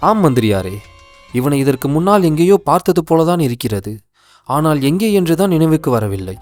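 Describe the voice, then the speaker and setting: A man playing a troubled, questioning king in an animated folktale, speaking formally and declaiming his words. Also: fairly high pitch, brisk, faint music behind. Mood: bewildered. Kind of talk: animated moral story dialogue.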